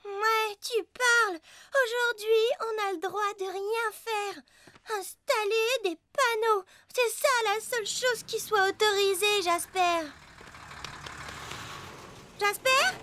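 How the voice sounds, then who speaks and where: A woman voicing a playful, expressive little girl who is easily exasperated, in a French children's cartoon. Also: extremely high pitch, takes it slowly, full character voice. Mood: exasperated, gloomy.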